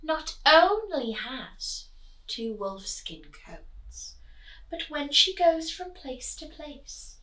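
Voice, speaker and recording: Teacher lady reading a rhyming kids story, slow and bouncy, echoey room. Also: sits high, recorded from a distance. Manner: animated, sing-song rhyming delivery with asides to the children. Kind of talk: expressive storybook read-aloud for children.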